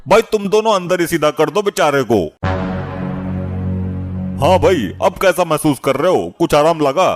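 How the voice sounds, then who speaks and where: A man's voice: a little high, moderate pace, close to an everyday voice. A man playing a pompous, indignant and easily baffled police officer in a comedy cartoon. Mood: bossy. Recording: loud music behind the voice.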